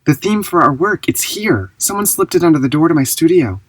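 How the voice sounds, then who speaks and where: A woman playing an earnest, fastidious young ballet dancer in an audio drama, husky and prim. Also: low-pitched, lively intonation. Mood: earnest, keen.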